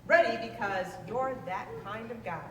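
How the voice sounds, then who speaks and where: A woman reading a children's rhyming book aloud in a lively, sing-song way. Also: on the high side, moderate pace. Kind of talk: read-aloud storytelling. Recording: roomy, distant mic, faint noise.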